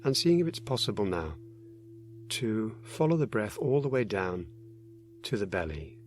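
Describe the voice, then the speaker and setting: A man narrating a guided meditation, giving gentle, unhurried instructions with many pauses. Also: fairly low pitch, very animated, a little hiss or hum.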